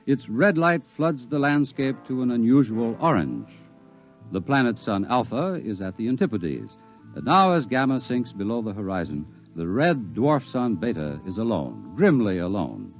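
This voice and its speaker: A man narrating a 1950s radio drama in a dramatic, measured way. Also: on the low side. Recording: dull old radio recording with music.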